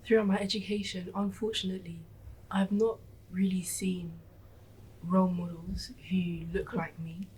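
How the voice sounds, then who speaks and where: A young woman student speaking quietly and hesitantly in a soft, breathy voice. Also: fairly low pitch, flat delivery, moderate pace, rough recording.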